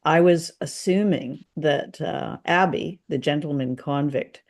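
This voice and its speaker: A man's voice: a little high, measured pace. A middle-aged man co-hosting a podcast, casually discussing and explaining things in a chatty way. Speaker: podcast co-host. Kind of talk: casual discussion of a radio drama.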